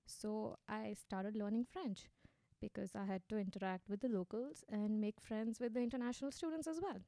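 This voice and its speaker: A woman in her thirties from India with an Indian accent, a native Hindi speaker, telling her story with animated warmth as a guest in a radio interview. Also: mid-range voice, normal speed, no room sound.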